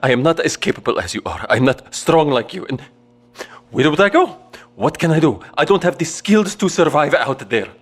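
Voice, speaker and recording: An actor playing a desperate, frightened father in an audio drama, speaking in a halting, emotionally raw way. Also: on the high side, big pitch swings, breathy edge. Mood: desperate, pleading.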